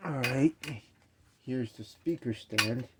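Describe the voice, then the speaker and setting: A man's voice: mid-range voice, takes it slowly, background noise. A man casually walking through an unboxing of a speaker stand, explaining each part as he goes and correcting himself along the way. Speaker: DJ reviewing gear.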